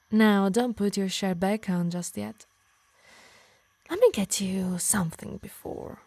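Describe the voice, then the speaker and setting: A woman with an Italian accent playing a possessive, obsessed harpy in an ASMR roleplay, soft and breathy, slipping into whispers, both tender and menacing. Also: mid-range voice, slight background noise, natural voice. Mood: coaxing, caring. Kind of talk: intimate ASMR roleplay acting.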